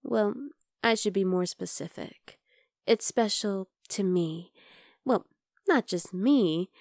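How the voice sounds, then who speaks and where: A woman's voice: mid-range voice, takes it slowly, no echo, studio quality. A woman playing an obsessive, chatty vampire lab worker in an ASMR roleplay, coaxing and teasing the listener. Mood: possessive, intent. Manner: conversational, teasing, coaxing, with soft asides.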